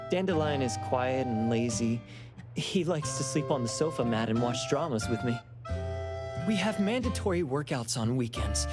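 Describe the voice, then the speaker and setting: A woman voicing an earnest, gentle young woman in a video game, speaking plainly. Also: extremely low pitch. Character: earnest, gentle young woman searching for her lost dog. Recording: music with instruments behind the voice.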